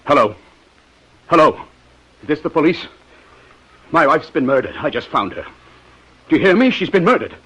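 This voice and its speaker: A man's voice: fairly high pitch, big pitch swings, moderate pace, long pauses, a bit bright, slightly rough, exaggerated voice. A man playing an agitated, wealthy banker husband in overwrought 1950s radio acting. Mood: frantic. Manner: overwrought, theatrical. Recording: muffled old radio recording.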